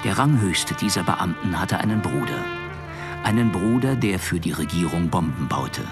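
A man narrating a scripted audio drama, dry and deliberate, with dramatic pauses. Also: low voice, animated, over music.

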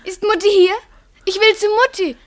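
A woman's voice: very high voice, steady tone, moderate pace, dry recording, clean studio sound. A female performer playing a frightened, pleading little village girl in a radio play, with a plaintive manner. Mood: timid. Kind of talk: German radio play acting.

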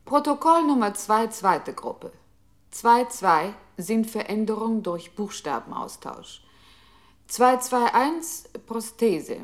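An actress playing a computer's impersonal control voice in a radio play, announcing commands and technical terms with formal precision. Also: female voice, fairly high pitch, animated, slow. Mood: clinical, impersonal.

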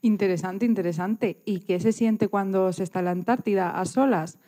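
Mellow-voiced Spanish woman interviewer. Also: mid-range voice, normal speed. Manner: informal, encouraging. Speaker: radio interviewer. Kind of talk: casual conversational interview.

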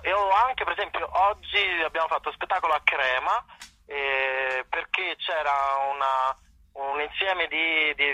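A man's voice: a little high, bright, forceful tone, dry recording. A man speaking casually as a theatre director and performer interviewed on radio, sometimes repeating words as he searches for them. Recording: dull, dry radio or phone-line sound.

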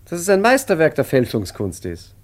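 An actor playing a suave, self-important male art forger in a 1950s German radio play, with a smooth, grandiloquent delivery. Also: fairly high pitch, wildly swooping pitch, normal speed. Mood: indignant. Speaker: narrator and lead character.

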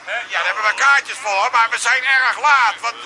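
A man's voice: very high voice, normal speed. A man playing a bossy, exasperated comedy radio host who fusses, hesitates and repeats himself. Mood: flustered. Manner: hesitant, repetitive, fussing. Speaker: show host. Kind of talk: comedy radio sketch acting. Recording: noisy car interior with traffic and crowd.

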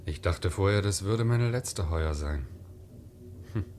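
A disillusioned young seaman, a modest son who speaks in a low male voice. His manner is subdued, hesitant and resigned. The style is 1950s radio drama, on an old recording with faint noise. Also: expressive, slow pace, darkish tone. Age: young adult.

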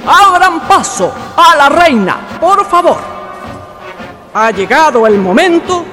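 A grandiose festival announcer in a radio play. His pitch is extremely high and swoops about as he proclaims pompously over music. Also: big pitch swings, slow pace, heavily acted. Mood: grand, festive. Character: grandiose town festival announcer addressing the people. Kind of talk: radio drama acting, proclamation. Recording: music under the voice.

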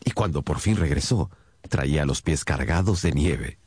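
A man's voice: rumbling low, expressive, moderate pace, darkish tone, husky, dry recording, heavily acted. A man acting as a detective's loyal companion, narrating the case in a composed, dramatic storytelling style for a radio drama. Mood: composed, suspenseful.

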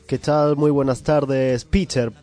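A man's voice: medium pitch, little pitch movement, slow pace, warm tone, soft background music, close to an everyday voice. A man playing a pompous, fussy foreign correspondent in a parody news interview, mock-formal and dropping English words into Spanish.

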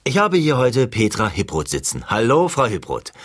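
A man's voice: mid-range voice, wildly swooping pitch, moderate pace. A man playing an earnest, wordy, eccentric interviewer in a satirical radio dialogue. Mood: businesslike. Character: chatty eccentric man coining silly sayings as interviewer.

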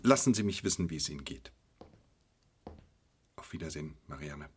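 An actor playing an aloof, uneasy doctor with a formal, restrained manner in a radio drama. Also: male voice, very deep voice, animated, moderate pace, noisy background. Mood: stiff, muted.

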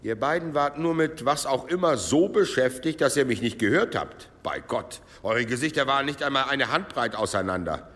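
A sly, needling monk played by a man in a radio drama. His medium-pitched voice is sardonic and full of insinuation, carried on the long reverb of a big hall. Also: animated, moderate pace, few pauses, a bit bright, lightly acted. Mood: mocking. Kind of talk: German audio drama acting.

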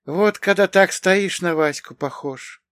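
Imperious middle-aged aunt of noble birth played by a male narrator: raised pitch, haughty, commanding, dry audiobook sound. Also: a little slow, a bit bright, dry recording. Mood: bossy, appraising. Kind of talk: acted audiobook dialogue.

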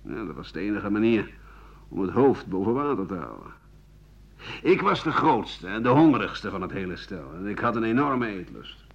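An actor playing an embittered aging French doctor and war veteran, rambling sardonically through his memories in a radio drama, his voice husky and rough-edged. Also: lowish voice, big pitch swings, moderate pace, heavily acted. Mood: wry, scornful. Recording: dull 1980 radio play recording.

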